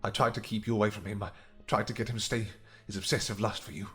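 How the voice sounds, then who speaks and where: A man playing a cold, pleading vampire conspirator in a fantasy audio roleplay, urgent, deliberate and menacing. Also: fairly low pitch, little pitch movement, faint music behind, natural voice. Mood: bitter, insistent.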